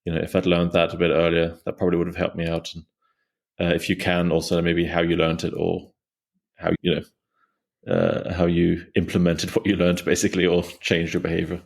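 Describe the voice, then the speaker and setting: A man's voice: sits low, fairly even intonation, fairly quick, vocal fry. A young man hosting a podcast, asking questions in a loose, casual way with many fillers. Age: young adult. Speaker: podcast host. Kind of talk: casual interview.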